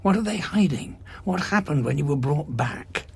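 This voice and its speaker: An actor playing an indignant, morally troubled war veteran with a sardonic, emphatic manner in an audio drama. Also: male voice, a little high, animated, moderate pace, darkish tone, heavily acted. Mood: probing. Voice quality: husky, gravelly. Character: indignant, morally troubled war-weary Doctor, adult male.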